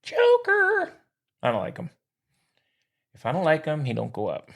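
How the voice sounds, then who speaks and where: Animated American man with a gravelly voice. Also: on the high side, moderate pace. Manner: blunt, opinionated, slangy, teasing. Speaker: sports podcast co-host. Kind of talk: casual sports banter.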